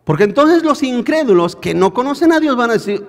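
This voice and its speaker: A man's voice: extremely high pitch, big pitch swings, a little fast, in a large hall. A man preaching a sermon, calling his listeners brothers and asking them rhetorical questions. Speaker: pastor. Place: church in Bakersfield, California.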